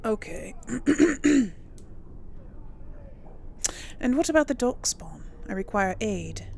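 Excitable high-voiced woman gamer. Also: takes it slowly, gravelly, slight background noise. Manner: excitable, talks to herself and her characters. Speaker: gamer playing and role-playing for an audience. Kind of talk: casual playthrough commentary with in-character replies.

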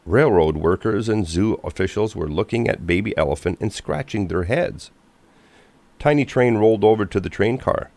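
A man narrating a children's storybook from a script in an expressive, clearly paced manner. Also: fairly low pitch, moderate pace.

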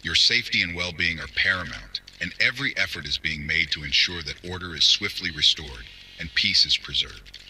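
A man's voice: deep voice, a little fast, sharp tone, a little hiss or hum, poor audio. A man playing a grave, resolute government minister giving a formal, solemn address to the nation in a drama. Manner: solemn, oratorical. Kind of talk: formal scripted televised address.

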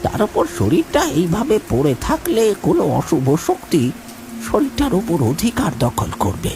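An actor playing an older, superstitious village uncle in a cartoon, admonishing and insistent. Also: male voice, high-pitched, wildly swooping pitch, unhurried, heavily acted. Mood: insistent, grave. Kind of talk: cartoon ghost-story dialogue. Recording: noisy ambience behind the voice.

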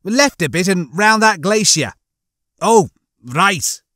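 Cheeky male crewman, played by a man, laid-back, wry, casual, slightly high, lively pitch, sci-fi comedy audiobook. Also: slow, no echo, clean studio sound, full character voice.

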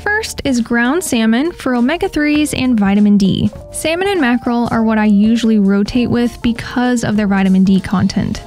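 Female vlog narrator, higher register, scripted instructional voice-over with light music underneath. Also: moderate pace, hardly any pauses, darkish tone. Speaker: pet channel host. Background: background music.

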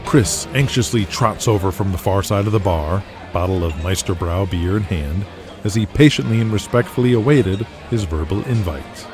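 A man's voice: deep voice, moderate pace, faint music behind. An American man narrating an audio drama in a scripted, dramatic storytelling style.

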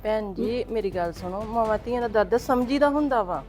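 A woman acts out a middle-aged mother pleading to see her married daughter. Her fairly high voice protests earnestly, and faint music plays behind her. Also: brisk, a little mellow, natural voice. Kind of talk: homemade family drama skit.

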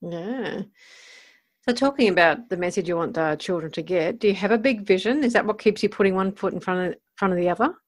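A woman's voice: medium pitch, brisk. A woman, a podcast host putting questions to a guest in a conversational way. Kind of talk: conversational interviewing.

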